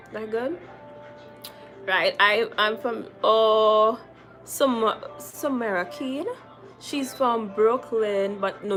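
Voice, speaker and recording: A young adult woman with a Trinidadian accent, chatting casually on a livestream, reading viewer comments and repeating her phrases for emphasis. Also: on the high side. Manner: chatty and lively, repeating phrases, calling viewers girl and sis. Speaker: makeup artist hosting a livestream.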